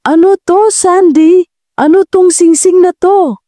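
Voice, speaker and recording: A man's voice: extremely high pitch, takes it slowly, dark tone, no room sound, studio quality, pitched above the performer's own voice. A woman voicing a furious, betrayed wealthy man in an audio story, accusing and demanding. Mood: angry, accusing.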